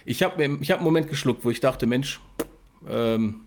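A man's voice: medium pitch, brisk, darkish tone, slight background noise. A man hosting a casual interview, feeling his way through his sentences with self-corrections. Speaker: podcast host and interviewer.

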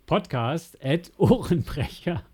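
A man's voice: on the high side, expressive, takes it slowly, warm tone, breathy edge. A man co-hosting a podcast, bantering casually in a tongue-in-cheek way. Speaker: podcast co-host.

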